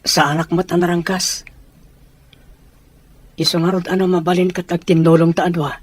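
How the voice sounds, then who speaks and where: An actor with an Ilocano Filipino accent playing an earnest, respectful young suitor in an audio drama. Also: male voice, high voice, flat delivery, normal speed, husky, dry recording. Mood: earnest, pleading. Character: earnest, respectful young suitor seeking peace between families. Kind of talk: Ilocano radio-style drama acting.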